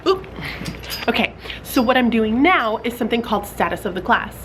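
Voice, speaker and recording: A woman's voice: on the high side, lively intonation, normal speed, noisy background. A young woman, a fourth grade teacher, talking casually in a vlog, a little stuffy from a cold, with lots of "like" and "um". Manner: chatty, filler-heavy with "like" and "um". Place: classroom.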